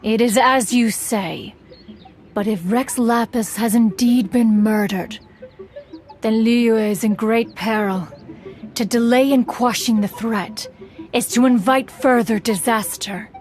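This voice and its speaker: A woman's voice: on the high side, a little mellow. A woman playing a proud, aloof, ancient immortal hermit in a video game, speaking in formal, archaic phrases. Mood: stern, cold. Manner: haughty, formal, archaic, measured. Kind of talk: theatrical video game voice acting.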